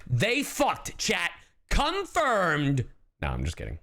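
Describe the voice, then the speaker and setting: A man streaming casual live reactions to a video game, excitable and sweary in how he talks. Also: high voice, slow pace.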